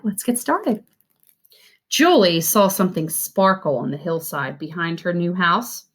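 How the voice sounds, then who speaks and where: A woman reading a children's story aloud with deliberate, animated, expressive narration. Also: on the low side. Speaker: read-aloud reader.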